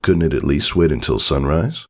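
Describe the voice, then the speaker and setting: A man's voice: rumbling low, moderate pace. A man reading a scripted story aloud as its narrator. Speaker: fan fiction reader, narrator.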